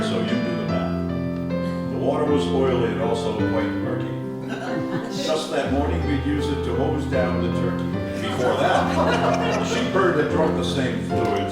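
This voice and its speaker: A man's voice: medium pitch, distant mic, lightly acted. A man playing a pompous, indignant 1840s judge with grandiose, old-fashioned diction in a comic radio play. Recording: roomy hall recording from afar, music behind.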